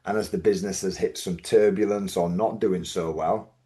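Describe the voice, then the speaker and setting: A man with a Yorkshire accent, a business owner talking as a podcast guest, thoughtful and measured, often restarting his sentences. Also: sits low, fairly even intonation, warm tone. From Yorkshire, England. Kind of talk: reflective podcast interview.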